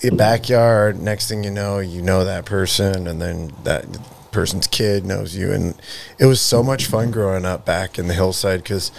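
A podcast host in his forties with a low, gravelly voice. He tells stories with animated, slangy energy and laughs easily. Faint background noise runs under him. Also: moderate pace. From Alaska. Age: 40s. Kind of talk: casual chat.

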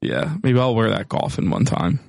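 A man co-hosting a casual sports chat, talking in bro-ish slang, his voice a little hoarse from yelling. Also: medium pitch, animated, moderate pace, no room sound, clean studio sound. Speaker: podcast co-host.

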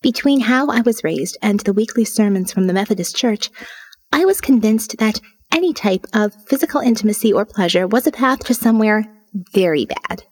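A woman reading an audio novel as a sardonic, restless young woman diarist, wry and confiding, with dramatic pauses. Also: medium pitch, lively intonation, darkish tone, lightly acted. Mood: wry, confessional. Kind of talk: dramatic first-person audio fiction narration.

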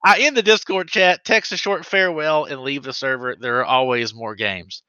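A man's voice: mid-range voice, normal speed, clean tone, no echo, dull audio. A man co-hosting a podcast, reading out listener stories in casual chat with sarcastic asides and frequent laughs. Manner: animated, sarcastic, laughs often.

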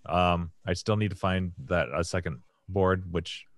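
A man with a hoarse, raspy voice, hosting a podcast and telling a long casual story full of fillers and restarts. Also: deep voice, level tone, unhurried, no echo. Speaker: podcast host. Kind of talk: casual chat.

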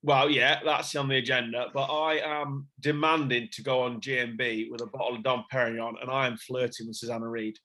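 Jokey podcast co-host. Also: male voice, mid-range voice, fairly even intonation, fairly quick, few pauses, sharp tone. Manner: enthusiastic, jokey. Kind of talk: casual banter.

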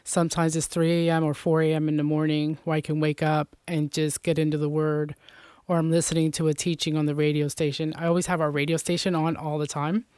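Woman from Puerto Rico, interview guest, chatty, flat delivery, smooth tone. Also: sits low, brisk, dry recording, studio quality. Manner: chatty, many fillers. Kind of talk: casual faith interview.

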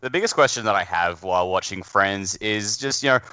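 A man's voice: lowish voice, a little fast, pushed and bright, smooth, no room sound. A man chatting casually with friends on a podcast.

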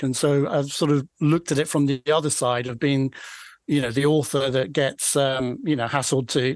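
A Scottish man in his forties from Aberdeenshire, speaking as a guest author. His voice sits slightly high and he often hesitates, restarting sentences with modest asides. The sound is close and dry. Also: no echo. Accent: Scottish, Aberdeenshire Doric. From Aberdeenshire, Scotland. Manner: hesitant, many fillers and restarts, self-deprecating. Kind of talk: casual interview chat.

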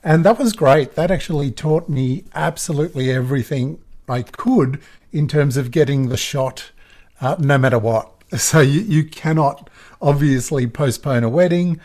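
A middle-aged Australian man with a croaky voice, a photographer chatting easily as a podcast guest and laughing often. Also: fairly high pitch, moderate pace, darkish tone. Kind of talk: casual podcast interview.

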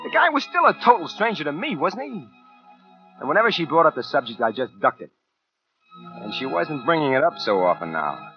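Brooding American radio killer, swinging pitch. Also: male voice, sing-song, a little fast. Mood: defiant. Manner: brooding first-person narration with hesitant, clipped dialogue. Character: cold, self-justifying male killer narrating his crimes. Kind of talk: 1940s radio crime drama acting. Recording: muffled 1940s radio broadcast, faint music.

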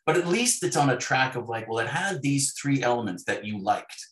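A man's voice: medium pitch, lively intonation, moderate pace, fairly bright tone. A man speaking as a guest author in a conversational interview, acting out imagined dialogue as he tells his stories. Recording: roomy, echoey sound.

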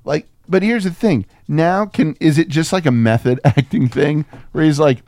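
A man's voice: on the high side, normal speed, darkish tone, no room sound, clean studio sound. A man co-hosting a film podcast in casual comedic banter, irreverent and sweary, slipping into mock voices as he riffs. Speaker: podcast co-host reviewing films.

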